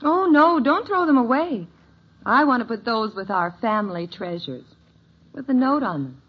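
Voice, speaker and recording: An actress playing a fond, sentimental suburban mother in a 1950s radio sitcom, with expressive, motherly delivery. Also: on the high side, moderate pace, husky. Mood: pleading, sentimental. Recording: muffled old radio recording, faint noise.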